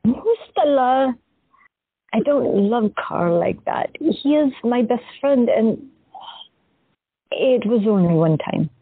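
Actress playing a shy, wistful young woman artist, husky, dry and tinny. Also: on the high side, lively intonation, slow, pauses often, no room sound, heavily acted. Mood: shy, earnest. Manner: gentle, hesitant. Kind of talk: audio drama acting. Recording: dry, tinny phone-like sound.

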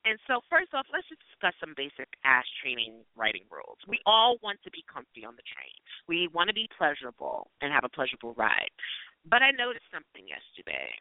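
New guy hosting a call-in show, kinda high voice, gushy and cussing a lot, thin phone-line sound. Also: wildly swooping pitch, a little fast, no room sound. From New York City. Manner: gushing, effusive, slangy and profane. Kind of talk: casual call-in podcast chat. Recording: tinny phone-line audio.

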